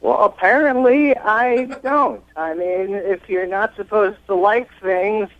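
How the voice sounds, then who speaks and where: A man's voice: high-pitched, takes it slowly, no echo. A man calling in to a radio show, talking casually in an earnest, puzzled and defensive way. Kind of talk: casual conversation. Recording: dull, dry telephone line.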